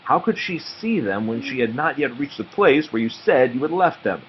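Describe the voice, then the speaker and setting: An earnest young boy detective from a children's storybook, acted out by a man. He lays out his thinking deliberately and deadpan, yet his pitch moves around a lot. The sound is a little dull, with faint noise behind it. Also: lightly acted. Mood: earnest, assured. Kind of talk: children's storybook read-aloud.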